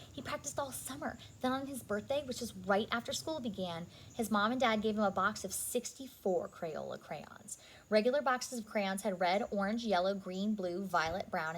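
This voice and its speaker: A woman reading a picture book aloud to young children in an expressive, deliberate storytelling style, adding asides for her listeners. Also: mid-range voice, slight background noise, rough recording.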